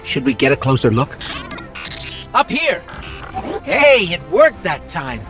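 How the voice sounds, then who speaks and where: A small floating robot companion in a video game, curious and earnest. The voice is fairly high and very animated, alert as it explains things, with music playing underneath. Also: male voice, slow pace, exaggerated voice. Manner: expressive, alert, informative.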